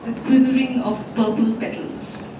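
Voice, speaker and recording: A woman in her thirties, a poet, giving a measured, expressive reading of her poem on a festival stage into a handheld mic. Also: fairly high pitch. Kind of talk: poetry reading. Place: festival stage, indoor hall. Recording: echoey hall from far off, background noise.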